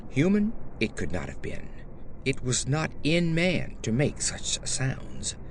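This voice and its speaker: A man giving a theatrical, grave first-person reading of gothic horror fiction as an audiobook narrator, with deliberate emphasis. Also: medium pitch, wildly swooping pitch, a little hiss or hum.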